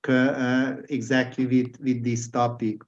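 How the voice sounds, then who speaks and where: A Hungarian-accented man whose first language is Hungarian, hosting an interview. He speaks slowly and haltingly, restarting words as he searches for them, at a medium pitch. The audio sounds dull and lo-fi. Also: flat delivery. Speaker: podcast host. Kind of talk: casual interview chat.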